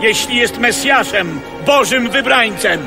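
Mocking council elder: high, derisive delivery over music, biblical audio drama. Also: male voice, lively intonation, a little slow, creaky, exaggerated voice. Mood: scornful. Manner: scornful, jeering. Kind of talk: audio Bible radio drama acting. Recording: music bed behind.

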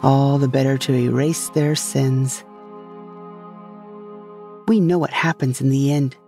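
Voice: mid-range voice, fairly even intonation, slow, dark tone. A narrator delivering a scripted dramatic monologue in a sci-fi audio drama. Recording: faint music behind the voice.

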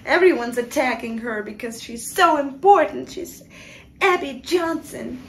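A woman in her twenties with a fairly high voice talks to camera in a room. She reviews a film casually, in a sarcastic and exasperated way. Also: unhurried, creaky, a little hiss or hum. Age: 20s. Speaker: video commentator. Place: small room indoors.

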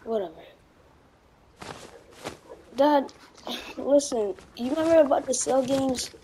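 A woman's voice: high voice, slow, mellow, slight background noise, rough recording. A woman playing a whiny, eager young son in training in an amateur anime game roleplay. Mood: concerned.

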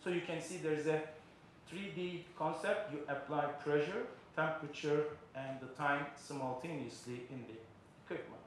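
A man's voice: a little high, fairly even intonation, normal speed, noisy background. A middle-aged man giving a keynote lecture at a podium microphone, explaining step by step with frequent fillers. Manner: explanatory, frequent uh fillers, non-native phrasing. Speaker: keynote speaker. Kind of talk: conference lecture. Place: large conference hall, indoors. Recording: very echoey hall with faint ambience.

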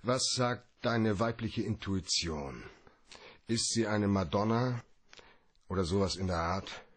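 An actor playing a hard-boiled, wry private detective in a noir radio drama, with a gravelly, laconic delivery. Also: male voice, lowish voice, no echo. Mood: casual, probing. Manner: laconic, dry, slow.

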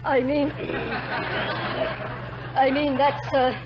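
High, fluttery society matron. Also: female voice, flat delivery, extremely slow, crowd noise. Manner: affected, flustered, stammering grandly. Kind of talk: 1940s radio comedy acting. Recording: dull, noisy 1940 radio recording.

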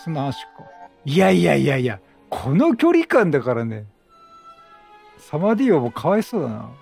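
A man giving casual, chatty game commentary, excitable, repeating phrases and reacting aloud. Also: on the high side, normal speed, creaky. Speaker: game streamer. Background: game music.

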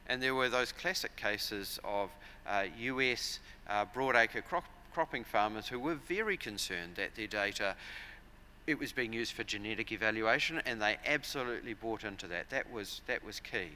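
A man in his forties with a New Zealand accent, giving a measured, explanatory conference talk at a lectern with frequent fillers and restarts. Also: lowish voice, animated, bright, forceful tone, slight background noise. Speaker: conference speaker. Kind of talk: conference presentation. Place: large conference hall, at a lectern. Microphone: lectern microphones.

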